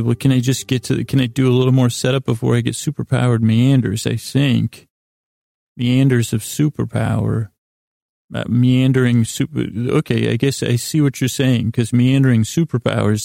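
A man with a heavily creaky, gravelly voice hosts a sleep podcast in a mellow, dark tone. He rambles along haltingly, stammering and circling back over his words. The sound is close and dry, with hardly any room to it. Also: mid-range voice, flat delivery, no room sound. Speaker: podcast host.

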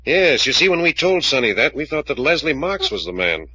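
An actor playing a cool, shrewd American detective, brisk and matter-of-fact, in 1940s radio drama style. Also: male voice, medium pitch, sing-song, pushed and bright, breathy edge, dry recording, heavily acted. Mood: cool, needling. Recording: dull vintage radio recording.

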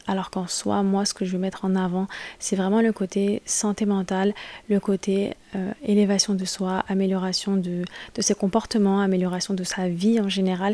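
A woman's voice: mid-range voice, dark tone. A woman in her late twenties hosting her own podcast, talking casually and spontaneously with many hesitations and restarts. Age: late 20s. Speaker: podcast host. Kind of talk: casual solo podcast monologue. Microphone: podcast microphone.

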